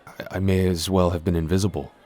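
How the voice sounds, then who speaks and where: A man's voice: sits low, level tone, fast talker, mellow, natural voice. A man playing a shy, clumsy giant in a roleplay audio, stammering, hesitant and constantly apologetic. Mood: self-deprecating. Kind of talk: intimate roleplay audio acting.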